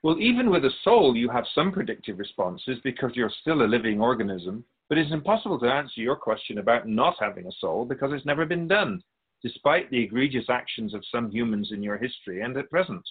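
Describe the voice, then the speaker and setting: Male actor as wise soul-keeping spirit, husky mid-pitched voice, patient, measured, gently wry, radio drama dialogue. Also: animated, narrow, tinny audio. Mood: patient, explanatory. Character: patient, all-knowing spirit, keeper of souls.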